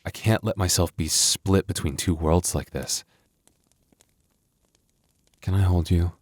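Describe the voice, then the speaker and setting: A man's voice: deep voice, slow, no room sound. A man playing a young werewolf boyfriend, tender but protective with a tough edge, in an intimate ASMR roleplay. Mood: tender, devoted.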